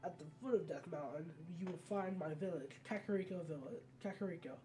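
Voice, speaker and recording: A gaming video maker reading out a solemn female royal guardian from a fantasy game in a measured way. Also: medium pitch, warm tone, far from the mic, a little hiss or hum, lightly acted. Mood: solemn, instructive.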